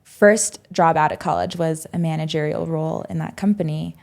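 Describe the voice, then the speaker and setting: A woman's voice: low-pitched, fairly even intonation, normal speed, creaky, a little mellow. A woman in her twenties, a podcast guest telling her story reflectively, with many "um"s and "like"s. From United States. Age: 20s. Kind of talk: casual podcast interview.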